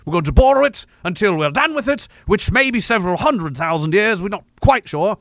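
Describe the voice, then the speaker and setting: A man's voice: high voice, expressive, fairly quick, a bit bright, no room sound, clean studio sound. A man voicing a smug, cruel British imperial officer with a plummy, haughty, formal manner. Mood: smugly polite.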